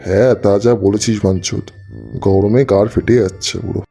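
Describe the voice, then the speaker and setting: A man's voice: on the low side, fairly even intonation, fairly quick, vocal fry, warm tone, husky, faint music behind. A man voicing a broke, foul-mouthed young slacker in a comedy cartoon, teasing and cajoling in slang. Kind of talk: Bengali comedy cartoon voice acting.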